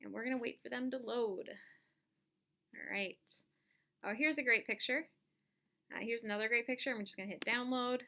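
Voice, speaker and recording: A woman giving a casual step-by-step software tutorial, thinking aloud as she demonstrates each action. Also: fairly high pitch, normal speed, long pauses. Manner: informal, instructional, thinking aloud while demonstrating. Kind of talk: casual step-by-step screen-recorded lesson.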